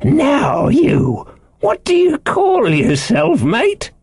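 A man's voice: very high voice, huge pitch swings, drawn-out words, a little mellow, no room sound, pitched above the performer's own voice, full character voice. A man voicing a marooned, half-crazed sailor for an audiobook, childishly sly and pious, wheedling and rambling in rustic seafaring dialect. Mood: sly, excited. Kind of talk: audiobook character voice.

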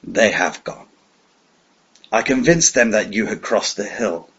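A man doing a calm, menacing old villain. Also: fairly low pitch, measured pace, slightly rough, heavily acted. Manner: quiet, calm and assured. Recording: dull lo-fi recording.

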